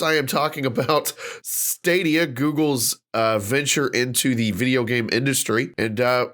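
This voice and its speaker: A stuffed-up, nasal man hosting a casual podcast. He rants along eagerly, stumbling through fillers and quick restarts as he builds his case, never running short of things to say. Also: a little high. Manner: animated ranting, many fillers and restarts. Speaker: podcast host.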